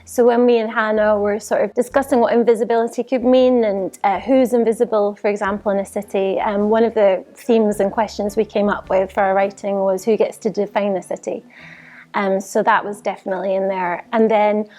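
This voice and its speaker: A woman's voice: mid-range voice, steady tone, a little fast, mellow. A woman, a writer, casually explaining a creative process in an interview.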